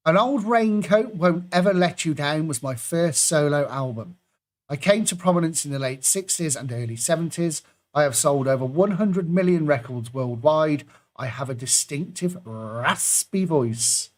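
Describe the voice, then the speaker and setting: A man's voice: fairly high pitch, animated, moderate pace. A man hosting an online pub quiz, reading out clues and adding jokey asides between them.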